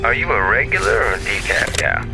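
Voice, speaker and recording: A man's voice: fairly low pitch, lively intonation, a little fast, music in the background. A man playing an easygoing, flirtatious space pilot heard over a radio link in a science fiction audio drama, casual and teasing. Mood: teasing, breezy.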